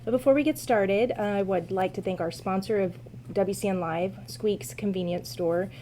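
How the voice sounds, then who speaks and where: Brief: woman host, mellow soft voice, chatty livestream, light room noise. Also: mid-range voice, moderate pace. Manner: friendly, chatty, with frequent ums. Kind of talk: casual livestream chat. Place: small newspaper office.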